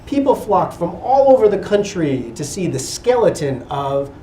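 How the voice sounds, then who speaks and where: A man giving an expressive picture-book reading to children, pausing for little asides. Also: high voice. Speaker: guest reader at a school. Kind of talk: animated picture-book read-aloud to children. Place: indoor school room with children. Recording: roomy, distant mic with background noise.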